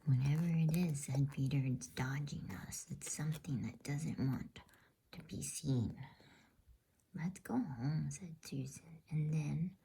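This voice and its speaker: A woman whispering softly and soothingly in an unhurried ASMR style, as the host of a bedtime reading. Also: sits low. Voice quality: breathy whisper.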